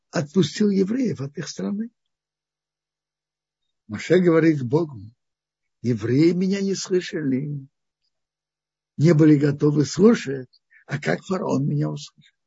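Husky gravelly man teaching scripture. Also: a little high, dark tone, muffled recording. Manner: halting, thinking aloud, restarting phrases. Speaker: Torah teacher. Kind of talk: weekly Torah portion lesson.

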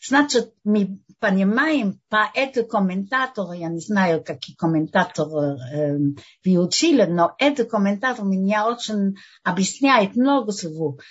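An older woman whose first language is French, teaching a religious lesson and often pausing to search for words. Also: medium pitch, lively intonation, husky, highs cut off.

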